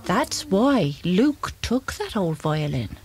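A woman's voice: fairly low pitch, very animated, slow pace, a little mellow, slight background noise, heavily acted. A woman playing an anxious, tender-hearted mother, pleading and emotional, in an audio drama. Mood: sorrowful.

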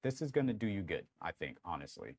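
A young man with a deep voice giving a casual, rambling tech review on a lapel mic in a small room, with lots of fillers. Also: big pitch swings, fast, no room sound. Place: small room lit with colored lights.

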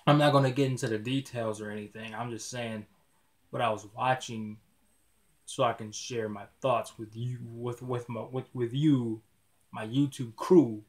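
A man's voice: mid-range voice, steady tone, normal speed. Laid-back young man rambling to a webcam. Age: 20s. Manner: rambling, repeats words often, laid-back slang. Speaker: YouTuber. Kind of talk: casual vlog talk to camera. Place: small dim indoor room.

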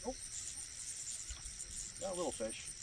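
A man giving casual, plainspoken commentary for an outdoor fishing vlog, in a folksy way. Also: measured pace. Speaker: fishing vlogger. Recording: outdoor recording with clear background noise.

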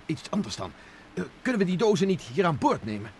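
A tense, clipped general. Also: male voice, sits high, very animated, brisk, darkish tone, a little hiss or hum. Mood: urgent. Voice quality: clipped, urgent orders. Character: tense commanding male general. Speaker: general leading the mission. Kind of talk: vintage Dutch radio play.

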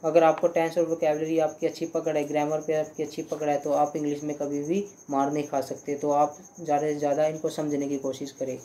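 A young man teaching English grammar in Hindi, going slowly through example sentences, repeating them and often checking "clear?". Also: fairly high pitch, completely flat delivery, dark tone, poor audio. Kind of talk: Hindi-language grammar lesson.

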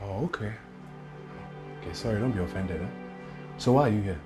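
A man's voice: mid-range voice, very animated, fairly quick, music in the background, lightly acted. A male actor with a Nigerian accent playing an exasperated young boyfriend in a screen drama. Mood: incredulous, firm. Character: exasperated young boyfriend, embarrassed yet firm.

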